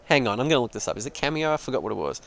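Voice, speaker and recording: A man's voice: medium pitch, very animated, racing, creaky. A man casually commentating a sim racing league on a live stream, rambling and joking at his own expense and swearing freely.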